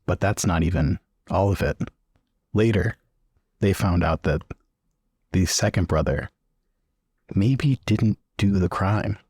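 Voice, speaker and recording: A man improvising a story for a tabletop role-playing game in a conversational, halting, deliberate way. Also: deep voice, a little mellow, breathy edge, no room sound.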